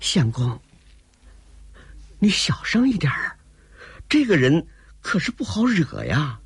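A male storyteller voicing a soft, pleading young courtesan. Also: on the high side, huge pitch swings, slow pace, breathy edge, dry recording, pitched above the performer's own voice, heavily acted. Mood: worried. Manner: coaxing, tearful pleading.

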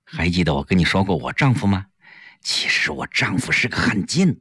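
A man's voice: very high-pitched, fairly even intonation, unhurried, background noise, pitched above the performer's own voice. A man voicing a spirited, crafty young wife in an audio storybook, with an emphatic, theatrical manner. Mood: hushed confiding.

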